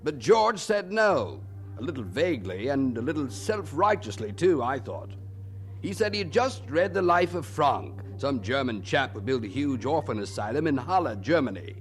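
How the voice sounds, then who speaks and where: Expressive, wry preacher recalling old times acted. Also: male voice, mid-range voice, normal speed, soft background music, lightly acted. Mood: reflective, wry. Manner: reflective, conversational, wry. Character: candid, wryly puzzled male preacher recalling his co-pastor. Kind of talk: storytelling narration in radio drama.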